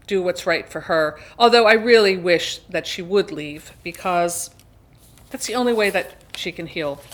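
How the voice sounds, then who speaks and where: Woman doing a casual book chat, mid pitched voice, candid and opinionated, just talking it through. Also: fairly bright tone. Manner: conversational, candid, opinionated storytelling. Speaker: book review video host. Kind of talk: casual chatty book discussion.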